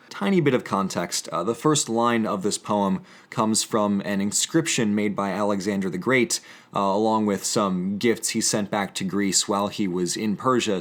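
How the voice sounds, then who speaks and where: A young man speaking as a presenter of history and poetry, earnest and emphatic in an educational delivery. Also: on the low side. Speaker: presenter reading and explaining poetry. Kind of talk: casual educational commentary with dramatic poetry reading.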